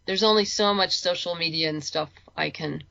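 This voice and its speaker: Bright-voiced woman chatting, slightly dull audio. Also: on the low side, normal speed, gravelly. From lives in Los Angeles. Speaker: interview guest, writer. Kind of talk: casual conversational chat.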